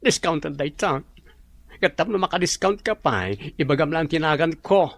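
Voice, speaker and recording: A woman with an Ilocano Filipino accent playing a flamboyant, gossipy young coworker, with drawn-out campy delivery and English slang, in a radio comedy. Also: sits low, creaky, no room sound. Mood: sassy, boastful.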